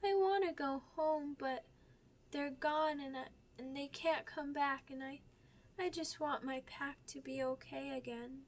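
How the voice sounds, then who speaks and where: A woman voicing an excitable, stubborn young werewolf pup in an ASMR roleplay, with childish, halting, repetitive speech. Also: sits high, slight background noise. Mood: sad, homesick. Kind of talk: ASMR character roleplay audio drama.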